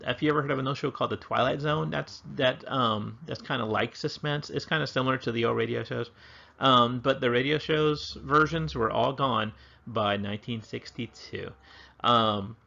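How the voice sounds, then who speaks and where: A man's voice: mid-range voice, creaky, sharp tone, muffled recording. An American man giving a casual history lecture as a teacher, informal, conversational and lightly wry.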